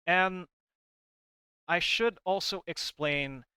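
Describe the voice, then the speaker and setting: Man, casual explainer host, smooth clear voice, unhurried, hesitant rambling delivery, informal, dry close sound. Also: sits high, sharp tone, dry recording. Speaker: video host explaining game lore. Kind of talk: casual commentary on a comic, reading parts aloud.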